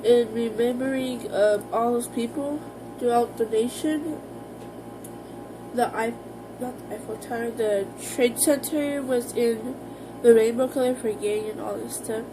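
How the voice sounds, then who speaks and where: Higher-voiced American woman, rambling vlogger. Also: steady tone, music in the background, low-quality recording. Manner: rambling, informal, halting. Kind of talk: casual personal vlog talk to viewers.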